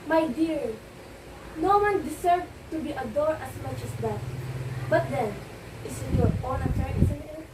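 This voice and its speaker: A woman's voice: high-pitched, unhurried. A woman playing a worldly, self-possessed stage actress in a play, teasing and condescending, with theatrical, lofty emphasis. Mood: condescending, advising. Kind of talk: amateur one-act stage play acting. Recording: echoey, distant room recording with loud noise.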